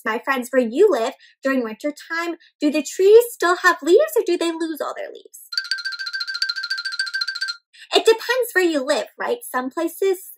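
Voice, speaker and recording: A young woman reading a picture book aloud to young children in an animated, expressive way, often putting questions to the listeners. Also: high-pitched. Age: young adult. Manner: animated, questioning, addressing young viewers as friends; exaggerated expressive delivery with gasps and stretched words. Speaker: children's read-aloud storyteller. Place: indoor room with houseplants.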